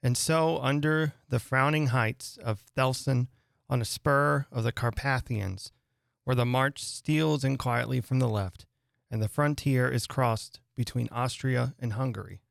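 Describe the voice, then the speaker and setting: A man narrating a literary story dramatically, with measured, deliberate phrasing and pauses. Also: medium pitch, gravelly, no echo, studio quality. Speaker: reader and host of a dramatic reading radio show.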